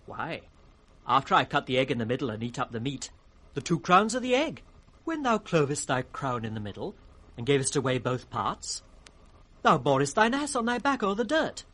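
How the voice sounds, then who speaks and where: An actor playing a witty, impudent court fool in radio Shakespeare, with a sing-song, riddling delivery. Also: male voice, a little high, sing-song, moderate pace, a bit bright. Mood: mocking. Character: witty, mocking court fool, teasing the king. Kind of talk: 1960s British radio Shakespeare acting, with sung rhymes. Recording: vintage 1960s radio drama recording.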